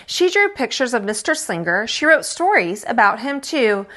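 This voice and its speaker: A woman reading a children's picture book aloud as a teacher, expressive and dramatizing, with asides about the pictures. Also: a little high, moderate pace.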